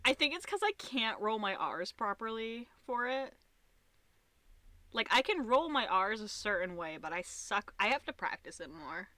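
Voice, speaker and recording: A woman's voice: mid-range voice, sing-song, normal speed, sharp tone, no echo. A woman with an American accent hosting a casual, goofy livestream in a playful, theatrical way. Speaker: streamer, host.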